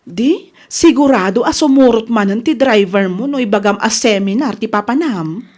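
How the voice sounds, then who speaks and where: A woman's voice: on the high side, lively intonation, moderate pace, a little mellow. A woman with a Filipino accent playing a coy, demanding mistress who poses as a caring friend in a radio comedy drama. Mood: doubtful.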